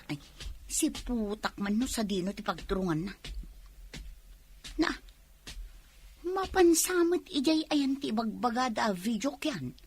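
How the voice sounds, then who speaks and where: A woman acting as a bossy village gossip with an Ilocano accent. Her high voice swoops about in slow, drawn-out exclamations, in comedy radio play style. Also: very animated, a little mellow, breathy edge, full character voice. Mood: gleeful gossiping.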